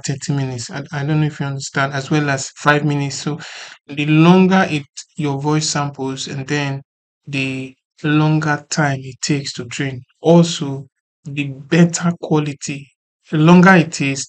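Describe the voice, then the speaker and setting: Software tutorial host, even narrow intonation, informal, step-by-step, repetitive, checks for understanding. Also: mid-range voice.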